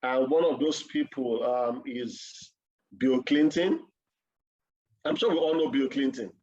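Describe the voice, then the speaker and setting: A man's voice: medium pitch, measured pace, a little mellow. Man, mid-range voice, earnest speaker on a dull-sounding video call. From Nigeria. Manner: earnest, personal storytelling. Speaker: conference speaker. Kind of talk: conference talk. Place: office.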